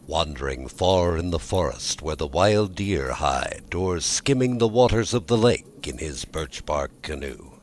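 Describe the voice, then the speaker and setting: A male storyteller with a low voice reads a fairy tale. He takes his time and swings widely in pitch, placing dramatic emphasis on key words. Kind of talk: scripted fairy tale narration.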